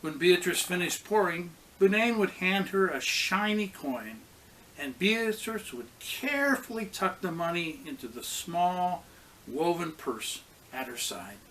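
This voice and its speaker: A man's voice: sits high, animated, a little slow, a bit bright, slight background noise, rough recording. A man reading a storybook aloud to children as a pastor, expressively acting out the characters' lines.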